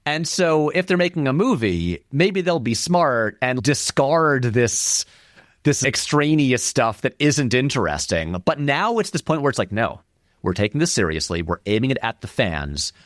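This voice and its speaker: Male interview guest, casual and discursive explainer; very lively pitch swings, mid-range voice, close dry sound. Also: normal speed, no echo. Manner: animated, discursive explaining, frequent "like". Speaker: interview guest, filmmaker and video essayist. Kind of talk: casual film commentary in conversation.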